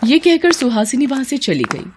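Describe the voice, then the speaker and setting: A woman narrating a scripted story as a storyteller, in an expressive, dramatic manner. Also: high-pitched, moderate pace, noisy background.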